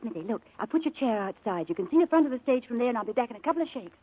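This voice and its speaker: A woman's voice: fairly high pitch, lively intonation, very fast, low-quality recording. An actress in a 1940s-style radio drama playing a kind, chatty young showgirl, coaxing and protective. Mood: cheerful. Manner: bubbly, coaxing, gently reassuring. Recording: old radio broadcast recording.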